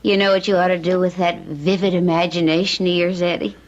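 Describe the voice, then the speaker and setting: A woman's voice: lowish voice, little pitch movement, a little fast, a little hiss or hum, lightly acted. An actress playing a policeman's anxious, caring wife in an old-time radio drama, speaking in a coaxing, hesitant way. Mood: gently concerned. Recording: dull, lo-fi radio recording.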